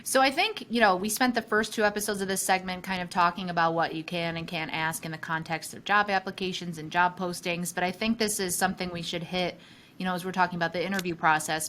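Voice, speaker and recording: A woman's voice: on the low side, steady tone, fast, a bit bright, slight background noise. A woman hosting a podcast in a casual, conversational way, chatty and agreeable, often affirming her guest. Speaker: podcast host, employment lawyer.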